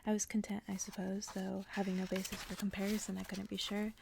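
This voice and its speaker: A woman reading in a soft, soothing, whispery ASMR style, as if for bedtime. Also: mid-range voice, completely flat delivery, brisk, vocal fry, background noise. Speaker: ASMR creator reading aloud.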